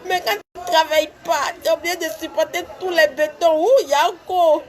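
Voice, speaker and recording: A woman, talking to camera from an office with a cold, preaching and laughing in loud, lively street talk. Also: very high voice, moderate pace. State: has a cold. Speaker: vlogger.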